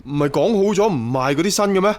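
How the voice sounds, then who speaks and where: A man's voice: sits high, lively intonation, fast. An actor playing a naive but drily sceptical young man in a radio drama, with a Hong Kong accent and casual, plain-spoken delivery. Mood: bewildered protesting.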